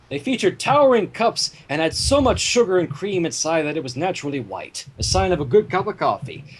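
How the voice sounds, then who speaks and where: A man's voice: sits high, slightly rough. A man reading fan fiction aloud as narrator, animatedly, with casual asides. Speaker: story reader.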